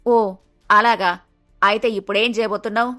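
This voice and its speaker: A woman's voice: medium pitch, steady tone, moderate pace, sharp tone, dry recording, clean studio sound, faint music behind, lightly acted. A woman playing a vain, touchy middle-aged mother-in-law in a cartoon moral story, querulous and full of questions. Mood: puzzled, conspiratorial.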